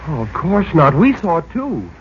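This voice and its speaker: A man's voice: on the high side, huge pitch swings, normal speed. A male actor playing an eager, curious teenage son in a 1940s radio drama. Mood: reassuring. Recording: muffled, noisy old radio recording.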